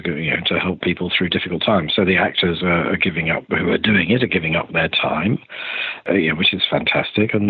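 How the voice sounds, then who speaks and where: Older man, husky low voice, hesitant rambling interviewee, roomy echo. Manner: hesitant, rambling, restarts sentences. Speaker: interviewed theatre director. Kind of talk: remote podcast interview. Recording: roomy, thin phone-like call audio.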